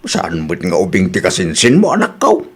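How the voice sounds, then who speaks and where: A man's voice: sits low, huge pitch swings, normal speed, husky, full character voice. A man acting a genial middle-aged uncle in a radio comedy drama, with an Ilocano accent and a folksy manner. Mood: fatherly. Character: genial older Ilocano uncle and father, fond of matchmaking.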